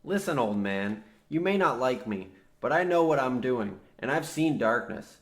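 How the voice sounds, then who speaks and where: A man's voice: medium pitch, expressive, moderate pace, close to an everyday voice. An actor playing an exasperated, practical younger man, serious and matter-of-fact, as the straight man in a comic old-time radio horror play. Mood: grim, defiant. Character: exasperated, practical younger man, war veteran trapped underground.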